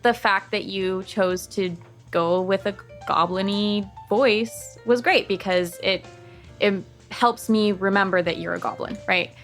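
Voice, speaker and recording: A woman co-hosting a tabletop roleplay podcast in a relaxed chat. She talks in a mid-range voice, reassuring and full of fillers, with faint music under the talk. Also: mid-range voice, unhurried. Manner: chatty, reassuring, lots of "like" and "right?". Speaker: podcast co-host. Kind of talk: casual roleplay podcast discussion.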